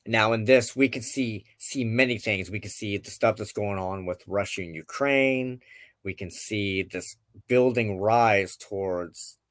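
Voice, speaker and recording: A man with a fairly low voice reads tarot cards as the host of a spiritual show. He takes his time, very measured, setting out short deliberate phrases with pauses between them. The sound is dry and close, with little room around it. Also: no echo. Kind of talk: tarot card reading, reflective spoken commentary.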